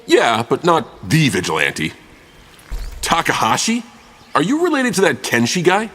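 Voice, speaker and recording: An actor playing a brash, irreverent helmeted vigilante in a video game. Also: male voice, fairly high pitch, wildly swooping pitch, measured pace, a bit bright, full character voice. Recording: long reverb on voice.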